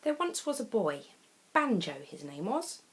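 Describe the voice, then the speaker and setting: A woman reading a children's picture book aloud as a storyteller, expressive and deliberate, with dramatic pauses between phrases. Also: fairly high pitch, over loud music.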